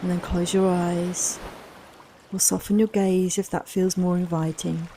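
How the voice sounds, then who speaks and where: Soft, mellow woman guiding relaxation. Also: on the low side, flat delivery, a little hiss or hum. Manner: soft, soothing, unhurried reassurance with long pauses. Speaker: guided meditation and yoga nidra teacher. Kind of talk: slow guided relaxation meditation.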